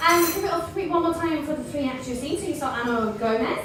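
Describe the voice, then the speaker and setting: A woman's voice: high-pitched, fast talker, background noise. A woman from North East England hosting a live comedy show with a bubbly manner and hyping up the crowd. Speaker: show host. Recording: echoey live venue from a distance, crowd.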